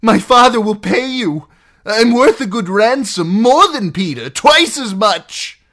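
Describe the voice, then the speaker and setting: A man voicing a cowardly captive lordling in an audiobook, shrill and frantic, stammering excuses and pleas. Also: expressive, takes it slowly, a bit bright, exaggerated voice. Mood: desperate bargaining. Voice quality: shrill, squealing. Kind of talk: audiobook character acting.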